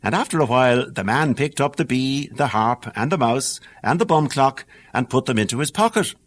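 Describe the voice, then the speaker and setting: A man with an Irish accent narrating a fairy tale audiobook in a lilting, animated storytelling manner. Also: medium pitch, normal speed.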